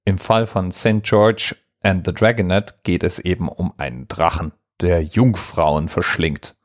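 A man's voice: low voice, steady tone, measured pace, no room sound, clean studio sound. A man hosting a podcast, explaining things in a casual solo monologue. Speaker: podcast host.

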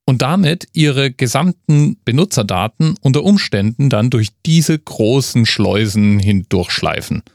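A man's voice: medium pitch, lively intonation, unhurried, creaky, hardly any pauses, darkish tone, no room sound, studio quality. A man giving conversational, emphatic opinion commentary straight to the listener, with rhetorical questions. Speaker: host of an online commentary.

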